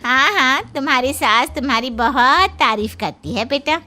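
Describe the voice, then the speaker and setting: A woman playing a chatty, rueful middle-aged auntie in a Hindi cartoon story, with gossipy, drawn-out exclamations. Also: high voice, sharp tone, faint music behind. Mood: friendly, flattering.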